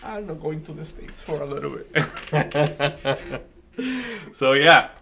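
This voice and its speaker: A man from the Dominican Republic with a Dominican Spanish accent, a native Spanish speaker hosting a live trading stream with casual, joking chat and halting, restarting market commentary. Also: fairly high pitch, gravelly, noisy background. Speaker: day trading streamer host.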